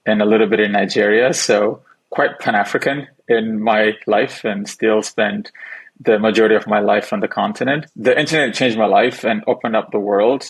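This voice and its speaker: A young adult man from Ethiopia, a founder and investor, giving measured, thoughtful interview answers with the odd restart. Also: fairly low pitch, slightly rough, low-quality recording.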